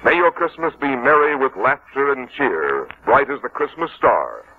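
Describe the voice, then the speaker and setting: A man working as a radio announcer, reading scripted 1950s sponsor messages with formal, deliberate phrasing. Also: mid-range voice, expressive, breathy edge. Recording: tinny narrowband vintage radio, music behind.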